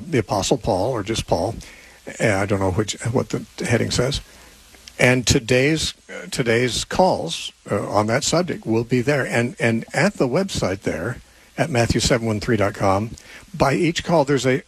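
An older American man in his seventies speaks as a radio host and teacher. His voice is husky and recorded dry, with no room sound. He explains things casually and conversationally, with a self-deprecating touch. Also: mid-range voice, no echo, low-quality recording. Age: 70s. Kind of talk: casual live call-in radio talk.

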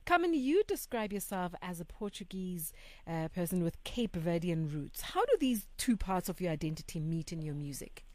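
Lower-voiced female radio host. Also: big pitch swings, moderate pace, darkish tone, no room sound. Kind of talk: radio interview hosting.

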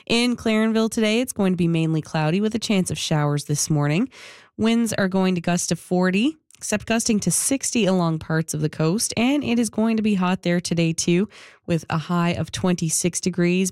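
Canadian lady doing morning radio, lowish voice, bit sniffly, really dry studio sound. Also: expressive, normal speed, a little mellow, no echo. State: slightly sniffly from a cold. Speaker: radio morning show host. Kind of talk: scripted radio hosting with weather report.

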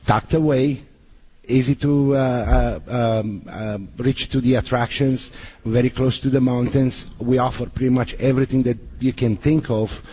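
A man's voice: mid-range voice, steady tone, unhurried, dark tone, breathy edge, low-quality recording. A man from Romania, a guest on a radio interview, speaking hesitantly with many fillers. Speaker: hotel manager guest.

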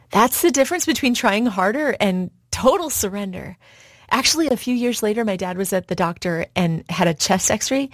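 Woman, radio host, scripted personal story, middle pitch, dry close studio sound. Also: no room sound. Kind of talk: scripted devotional spot.

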